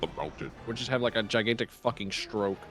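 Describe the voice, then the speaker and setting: A man's voice: fairly low pitch, brisk, a bit bright, soft background music. A man from the American South chatting casually with friends on an online voice call while gaming, explaining his points with everyday examples. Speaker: gamer on a group voice call.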